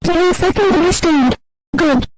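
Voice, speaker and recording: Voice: very high-pitched, moderate pace, background noise. A voice playing a furious shrieking character. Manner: furious, swearing. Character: raging character, explosive. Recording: voice over loud music.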